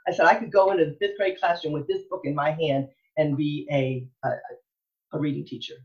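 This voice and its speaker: A woman's voice: low voice, fairly even intonation. Earnest American woman, dull lo-fi audio. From Texas, United States. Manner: earnest, emphatic, halting with repeated phrases. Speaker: elementary school librarian, interview guest. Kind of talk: enthusiastic conversational interview.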